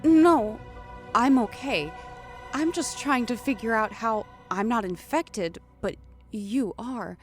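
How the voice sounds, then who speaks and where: An actress playing an earnest, worried but assertive fiancée in a survival horror audio drama. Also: female voice, on the high side, takes it slowly, faint music behind. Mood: concerned, pleading. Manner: earnest, urgent. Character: worried, assertive female fiancée of a quarantined man. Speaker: voice actor.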